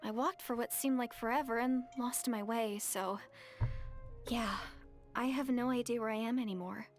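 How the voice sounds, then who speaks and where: A woman voicing a shy, earnest young village girl who speaks softly and hesitantly. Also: fairly high pitch, steady tone, moderate pace, soft background music, close to an everyday voice. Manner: soft-spoken, hesitant and bashful. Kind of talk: game dialogue.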